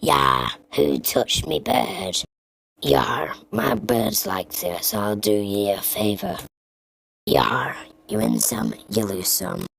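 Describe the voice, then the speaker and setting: A woman voicing a gruff, rascally pirate fox from a game, with a pirate-style accent. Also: very deep voice, sing-song, takes it slowly, no echo, pitched below the performer's own voice, exaggerated voice, low-quality recording. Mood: gruff menace.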